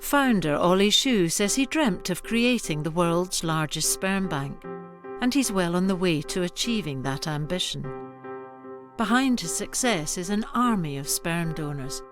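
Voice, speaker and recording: A woman's voice: lowish voice, lively intonation, unhurried. A woman reading scripted narration as a documentary narrator. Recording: music under the voice. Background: music.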